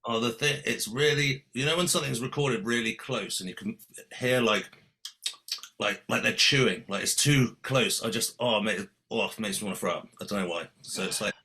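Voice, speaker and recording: A man hosting a casual podcast chat, with a soft, soothing voice, joking and rambling. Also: fairly low pitch, normal speed. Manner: jokey, rambling, laughs often. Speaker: podcast host.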